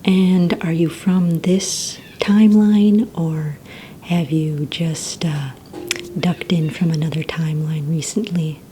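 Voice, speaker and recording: A woman whispering softly and breathily in an ASMR roleplay, playing a polite receptionist who asks questions in a gentle, hesitant manner. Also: low-pitched, fairly even intonation, takes it slowly, a little hiss or hum. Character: courteous female villain recruitment receptionist.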